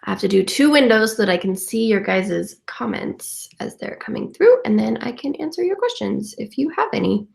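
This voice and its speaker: A woman's voice: fairly high pitch, animated, moderate pace, vocal fry, hardly any pauses, a little mellow, reverberant. A young woman with a stuffy, congested voice from a cold, chatting casually and cheerfully at home while she answers viewers' comments with lots of fillers. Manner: chatty, upbeat, many fillers. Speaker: vintage lifestyle vlogger.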